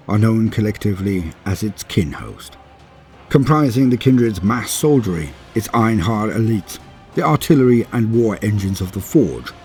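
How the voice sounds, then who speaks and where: A man's voice: on the low side, a little mellow, slightly rough, dry recording. A man narrating scripted lore as a channel host, theatrically, with grandiose and formal storyteller phrasing.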